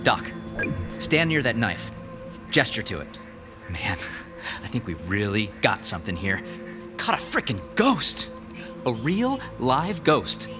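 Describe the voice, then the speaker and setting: An excitable, blunt TV cameraman in a radio drama. His mid-pitched voice swings very widely and drips slangy sarcasm, with music clearly underneath. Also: slow, pauses often. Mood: excited. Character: brash, sceptical, excitable male TV cameraman. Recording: music behind the voice.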